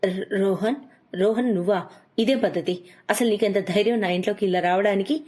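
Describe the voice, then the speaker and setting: A woman playing a protective young mother, anxious yet gentle, in a cartoon story. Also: medium pitch, normal speed, natural voice. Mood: indignant. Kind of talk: cartoon moral story acting.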